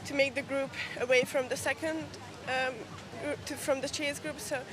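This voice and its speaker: A young woman, an athlete in a casual post-race interview, speaking haltingly as she searches for words. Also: high-pitched, fairly even intonation. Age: young adult. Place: outdoors at a race venue. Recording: crowd and music behind.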